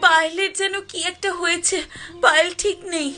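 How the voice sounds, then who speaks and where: An emotional young village woman played by an actress speaks in a very high, dramatic cartoon voice. She is insistent, and music plays loudly behind her. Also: steady tone, normal speed. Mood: distressed. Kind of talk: cartoon voice acting.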